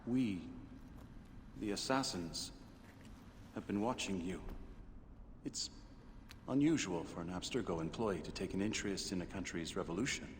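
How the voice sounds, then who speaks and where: An actor playing a calm, persuasive middle-aged recruiter in a video game. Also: male voice, low voice, moderate pace, pauses often, close to an everyday voice. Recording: roomy game audio with faint noise.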